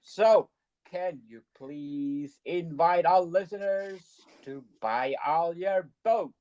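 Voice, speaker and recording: A podcast host asking an author guest a brief question in short, slow phrases, a little distant from the mic. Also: medium pitch, darkish tone, dull audio. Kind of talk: interviewer.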